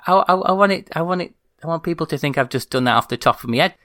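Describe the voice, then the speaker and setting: A man's voice: fairly high pitch, lively intonation, fast, bright, forceful tone, no room sound, clean studio sound. A man co-hosting a podcast in casual, playful chat, teasing and laughing often. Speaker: podcast co-host.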